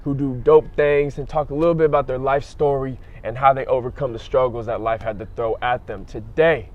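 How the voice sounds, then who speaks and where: A man hosting an interview series, upbeat and casual, backing up his guest with quick affirmations. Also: medium pitch, steady tone. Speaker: interview host. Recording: dull lo-fi outdoor audio.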